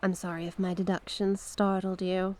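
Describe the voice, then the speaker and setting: A woman's voice: medium pitch, flat delivery, normal speed, mellow, lightly acted. A woman playing a mysterious sea witch in an ASMR roleplay, coaxing and sly, speaking with genteel courtesy and terms of endearment. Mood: smooth, courteous.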